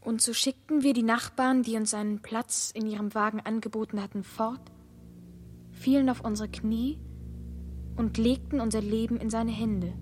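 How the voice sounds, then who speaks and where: A woman's voice: on the high side, steady tone, moderate pace, darkish tone, lightly acted. A woman playing a frightened, pleading ten-year-old child in a radio play, speaking with plaintive insistence. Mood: resigned. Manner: insistent, plaintive, sing-song repetition. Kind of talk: 1960s radio play acting.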